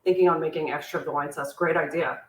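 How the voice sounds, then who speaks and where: A woman's voice: fairly even intonation, fast, a little hiss or hum. Chatty woman hosting a cooking class. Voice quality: squeaky. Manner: chatty, warm-hearted asides, laughs at herself. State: sore back, in discomfort. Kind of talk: live online cooking class, answering viewer questions. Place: home kitchen. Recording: echoey kitchen, voice from a distance. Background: clinking cutlery and dishes.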